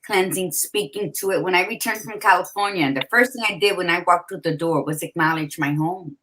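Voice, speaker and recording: A woman with a bright, slightly low voice speaks gently and thoughtfully as co-host. A faint hiss and occasional clicks sit under her audio. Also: fairly quick, rough recording. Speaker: podcast co-host, healer. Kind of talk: casual spiritual chat.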